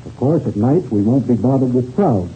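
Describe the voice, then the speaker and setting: An actor playing a formal, courteous race official in a 1930s radio serial, speaking in deliberate speeches. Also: male voice, medium pitch, animated, mellow, close to an everyday voice. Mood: formal, firm. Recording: muffled old radio recording, faint noise.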